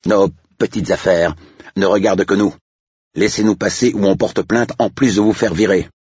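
A man's voice: low voice, fairly even intonation, normal speed, darkish tone, husky, no echo, lo-fi sound, pitched above the performer's own voice. A man voicing a cocky older estate agent in an audiobook story, scornful and taunting. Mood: indignant. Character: older male estate agent, sneering, mocking, cocky swindler.

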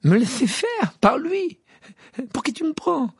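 A man's voice: fairly high pitch, big pitch swings, normal speed, gravelly, breathy edge, no echo, studio quality, pitched above the performer's own voice, heavily acted. A man voicing a hot-tempered teenage wizard boy who snaps back angrily. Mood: indignant. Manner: indignant, snapping.

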